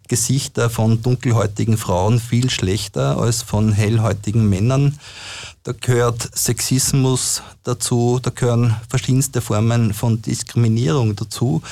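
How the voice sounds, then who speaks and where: A man with a gravelly voice summarizes research in a discussion. He talks haltingly and fills his pauses, in an ordinary mid pitch. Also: darkish tone. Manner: hesitant, frequent fillers.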